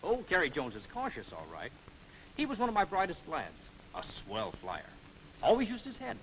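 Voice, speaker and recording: A man's voice: on the high side, huge pitch swings, moderate pace, poor audio. A man playing a reflective mentor figure in a 1940s training film, speaking about a former pupil. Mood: musing. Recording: old film soundtrack, faint noise.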